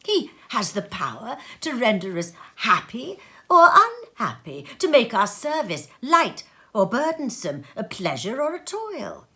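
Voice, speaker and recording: Woman playing old storybook miser, male, earnest, emphatic, widely swinging pitch. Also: pushed and bright, heavily acted. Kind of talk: storybook reading.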